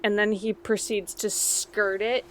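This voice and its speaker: A woman with a bright, forceful voice plays a tabletop game. She describes her character's actions in a casual, conversational way, with ambient noise behind her. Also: mid-range voice, flat delivery, measured pace. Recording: faint noise, background ambience.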